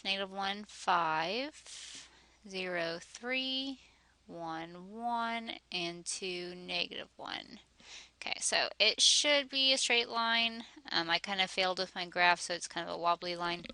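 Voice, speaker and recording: Woman teacher going thru math problems step by step, kinda hoarse, bright tense voice, dry close sound. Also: medium pitch, slow, no echo. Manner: methodical, talks through each step aloud. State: slightly hoarse, losing her voice.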